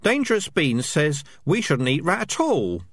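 A man's voice: wildly swooping pitch, a little slow, fairly bright tone, slightly rough, dry recording, studio quality, full character voice. A man voicing twittering, fretful little rats. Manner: bickering, nervous, high-pitched chatter. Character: anxious squabbling small male rats in a squad. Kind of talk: audiobook character voices.

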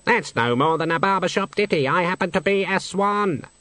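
A man doing a snooty, high-voiced male swan. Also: moderate pace, bright, forceful tone, no room sound. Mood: haughty. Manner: snooty and argumentative. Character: haughty quarrelsome male swan.

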